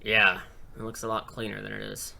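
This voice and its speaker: A man playing a dorky teacher superhero, creaky. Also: lowish voice, level tone, brisk, bright, forceful tone, heavily acted. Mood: earnest. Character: dorky, caring male English teacher superhero. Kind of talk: hobby audio drama acting.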